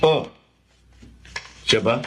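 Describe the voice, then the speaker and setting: An actor playing a smooth-talking, flirtatious congressman in a radio drama. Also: drawn-out words, bright, forceful tone. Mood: suave. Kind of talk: Tagalog radio drama acting.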